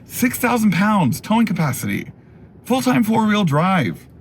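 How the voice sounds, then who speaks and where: A man hosting a casual, chatty car review in an animated, opinionated, enthusiastic way, his voice going a little hoarse. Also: high-pitched, unhurried, slight background noise. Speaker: car review video host.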